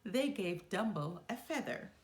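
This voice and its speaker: Slow, animated woman reading a storybook. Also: medium pitch. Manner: animated, deliberate storybook delivery. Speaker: storyteller reading a children's book aloud. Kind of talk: expressive read-aloud narration.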